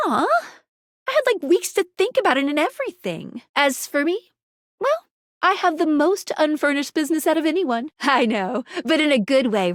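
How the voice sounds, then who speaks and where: High, perky, geeky game girl. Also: no room sound, studio quality. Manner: peppy, quirky, slangy, stammers when flustered. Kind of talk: animated video game character dialogue.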